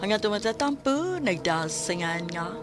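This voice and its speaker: A woman's voice: mid-range voice, very animated, normal speed. Radio presenter: woman, Cebuano accent, scripted intros, mid register, heavy music bed. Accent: Filipino, Cebuano. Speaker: radio drama narrator and presenter. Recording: loud music under the voice.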